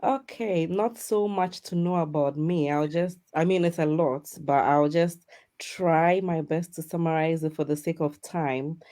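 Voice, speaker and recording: A woman's voice: low-pitched, a little slow, mellow. A woman from Ghana, chatting casually as an interview guest. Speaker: guest, digital business mentor.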